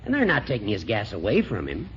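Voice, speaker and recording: An actor playing a crotchety, opinionated old judge in a 1940s radio comedy, brusque and needling. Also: male voice, lowish voice, huge pitch swings, fast, fairly bright tone, exaggerated voice. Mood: dry, brusque. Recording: noisy, dull 1940s radio recording.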